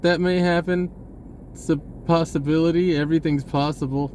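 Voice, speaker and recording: A man's voice: a little high, fairly even intonation, moderate pace, darkish tone, a little hiss or hum. A man giving a rambling, spontaneous message in an informal, hesitant way, often rephrasing as he goes. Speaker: online video creator.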